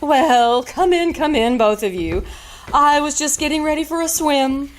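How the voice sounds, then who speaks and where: A worldly, breezy society woman from a radio drama. Her voice is fairly high and she takes her time over every line, sounding sly, teasing and knowing. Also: measured pace, slight background noise. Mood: gushing, welcoming.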